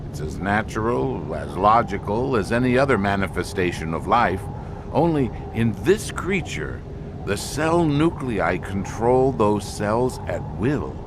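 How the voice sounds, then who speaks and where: Grave male scientist played by a man, audio drama. Lowish, very varied intonation, deliberate halting pauses, clear background noise. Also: big pitch swings. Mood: grave, explaining. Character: grave male expedition scientist explaining an alien menace.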